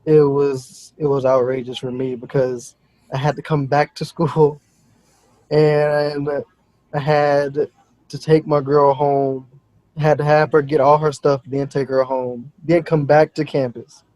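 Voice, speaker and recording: A young man from Texas telling a story as a podcast guest, animated and exasperated, heard over dull call audio. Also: on the high side, takes it slowly, dry recording. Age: young adult. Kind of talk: casual storytelling.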